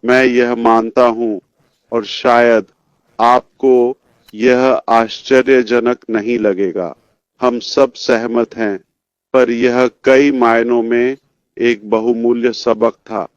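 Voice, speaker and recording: Man in his fifties, unhurried pace, informal company address, direct and rambling, muffled dry recording. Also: mid-range voice, fairly even intonation, smooth, no echo. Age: 50s. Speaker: company chief executive giving an update. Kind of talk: informal motivational address to members. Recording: dull lo-fi audio, dry.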